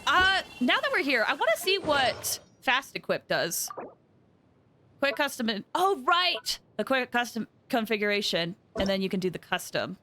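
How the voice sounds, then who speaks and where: A woman game streamer chatting casually and excitably, thinking aloud with frequent exclamations as she plays. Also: fairly high pitch, normal speed, a little hiss or hum. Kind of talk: casual livestream gaming chat.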